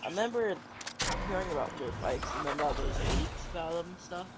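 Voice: mid-range voice, animated, very noisy. A friend on voice chat during a game, joking and teasing. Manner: teasing, quick jokes. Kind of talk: casual gaming chat.

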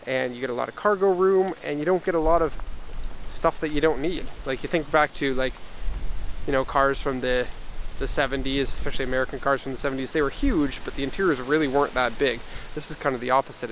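A middle-aged man giving a casual, unscripted car walkaround, relaxed and thinking aloud, recorded on a handheld camera. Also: mid-range voice, a little fast. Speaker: car exporter presenting a vehicle. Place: outdoors by a parked car and inside it. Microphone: handheld camera microphone. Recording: outdoor handheld camera, clear ambient noise.

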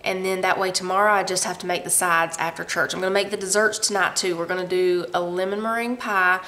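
A woman's voice: lowish voice, steady tone, quick pace, pushed and bright. Chatty woman at home. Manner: chatty, rambling, laughs often. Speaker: family vlogger. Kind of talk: casual vlog chat. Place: living room at home.

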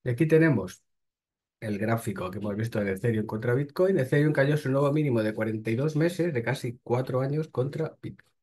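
Man, husky, mellow dark tone, reads news then adds blunt remarks. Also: medium pitch, a little fast. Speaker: host. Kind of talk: casual commentary reading crypto news.

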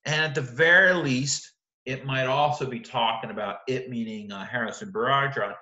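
A man's voice: mid-range voice, normal speed, sharp tone, lo-fi sound. Man teaching a lesson in an echoey room. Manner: conversational, repeats and restarts, frequent "right?". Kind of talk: recorded literature lecture over slides.

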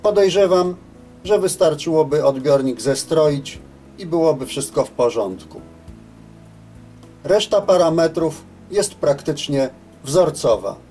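A man giving a scripted technical narration as a reviewer, in a measured, matter-of-fact way. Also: fairly high pitch, lively intonation, warm tone, soft background music. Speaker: reviewer of CB radios.

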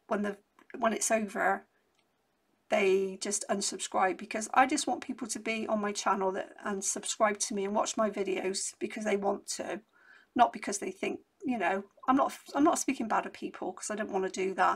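A middle-aged woman, hoarse from losing her voice, chatting casually and rambling through a craft haul at her desk at home. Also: mid-range voice. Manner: rambling and chatty, many restarts. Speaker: crafting vlogger.

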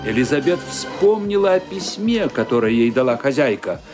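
A man's voice: mid-range voice, big pitch swings, normal speed, a little mellow. A man narrating a radio play in a dramatic, suspenseful reading style. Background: music underneath.

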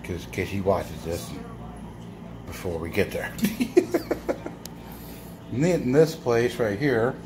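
A man's voice: on the low side, big pitch swings, takes it slowly, pauses often, noisy background. A man casually showing off his toy car finds in a rambling vlog, chuckling often and using many fillers, filmed on a handheld phone. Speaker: toy car collector vlogger. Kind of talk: casual show-and-tell vlog. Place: indoors, filming toys laid on a bedsheet. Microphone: handheld phone camera.

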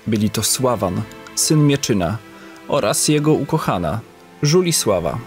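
A man narrating an audio drama in a measured storytelling manner. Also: mid-range voice, expressive, faint music behind.

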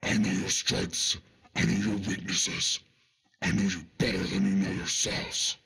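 A menacing villain god, evil and deliberate. The voice is low and gravelly, heavily rasping, with a cold threat in its measured delivery. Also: male voice, little pitch movement, sharp tone, faint music behind, full character voice. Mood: cold menace. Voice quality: gravelly, gruff.

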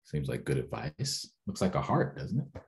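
A middle-aged American man reading a picture book aloud with casual asides, in an expressive, unhurried way. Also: rumbling low, gravelly. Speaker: guest story reader, local councilmember. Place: small indoor room, seated at a desk.

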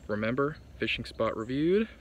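A man's voice: medium pitch, very animated, normal speed, a little hiss or hum. A young man giving fishing tips in an upbeat, chatty way, filmed outdoors on a lakeshore with a phone held at arm's length. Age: young adult. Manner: chatty, upbeat, talks straight to camera. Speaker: fishing vlogger. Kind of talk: casual outdoor tips vlog.

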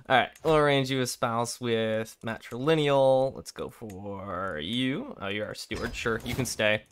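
Sick young man, raspy game commentary. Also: medium pitch, slow pace, a little hiss or hum. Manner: rambling, thinking aloud, frequent throat clearing. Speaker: gameplay commentator. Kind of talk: casual let's play commentary.

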